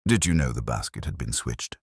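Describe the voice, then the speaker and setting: A man's voice: very deep voice, lively intonation, fairly quick, slightly rough, no echo, clean studio sound, pitched below the performer's own voice, full character voice. A man playing a careful, inquisitive detective in an audio mystery story. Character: careful, questioning detective, the inspector's colleague.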